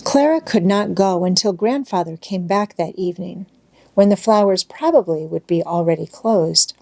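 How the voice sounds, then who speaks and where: A woman's voice: fairly low pitch, moderate pace, vocal fry, mellow. A woman reading a children's storybook aloud as scripted audiobook narration.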